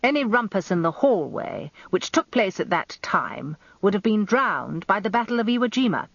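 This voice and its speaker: A woman's voice: medium pitch, big pitch swings, normal speed, gravelly, no echo, lo-fi sound, pitched above the performer's own voice. A British woman voicing a blustering, combative older male defence barrister with theatrical courtesy in a radio story. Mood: combative, probing. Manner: growling, elaborately courteous, theatrical cross-examiner.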